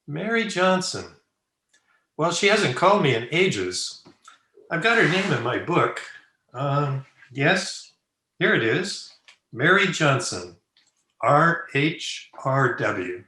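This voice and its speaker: A man's voice: fairly high pitch, sing-song, takes it slowly, long pauses, bright, forceful tone, exaggerated voice. A man playing a suave, flippant bachelor playboy in an old-time radio comedy, breezy and self-satisfied. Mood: smug, teasing. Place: indoor room, remote video call. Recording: roomy echo on home video-call recording.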